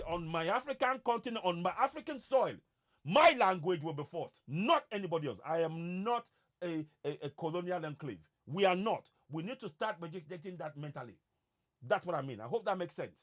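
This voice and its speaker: A man's voice: on the high side, very animated, a little fast, a bit bright. A man from Nigeria whose first language is Yoruba, giving an impassioned monologue to his viewers and stressing points by repeating words. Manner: emphatic, repeats words for emphasis. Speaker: online activist host.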